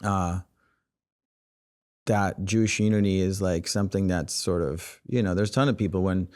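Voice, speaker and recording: Guy on a podcast, lowish smooth voice, rambly, says like and you know a lot. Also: normal speed, darkish tone. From United States, Israeli family. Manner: rambling, full of "like" and "you know what I'm saying". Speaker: podcast guest, rapper. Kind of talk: casual interview conversation. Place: podcast studio.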